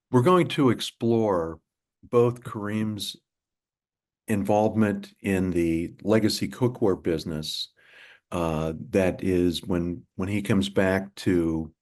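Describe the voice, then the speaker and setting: A low-voiced man speaks slowly as a professor hosting a webinar over a video call. He frames his questions haltingly, often repeating words while he thinks. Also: a little mellow. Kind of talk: moderating a webinar.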